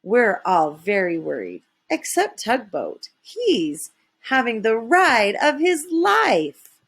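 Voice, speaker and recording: A woman's voice: on the high side, huge pitch swings, slow pace, fairly bright tone, husky. A woman narrating a children's story expressively, with dramatic emphasis. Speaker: storybook reader.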